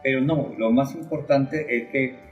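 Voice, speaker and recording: An older man speaks as a guest poet in an interview. His voice is mid-pitched and his delivery is reflective and halting, as he feels for his words. Faint music plays behind him. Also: highs cut off.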